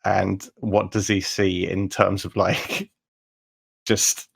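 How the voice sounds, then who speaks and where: A male roleplay player with plenty of vocal fry and a somewhat low pitch. He narrates his character's actions informally, thinking them up as he goes. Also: little pitch movement, takes it slowly.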